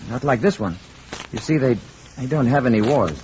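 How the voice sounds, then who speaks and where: An actor playing a polite, reserved alien man posing as a gentle newcomer in a 1950s radio drama. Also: medium pitch, lively intonation, lightly acted. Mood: gentle, curious. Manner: courteous, measured wording. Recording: dull, noisy old radio recording.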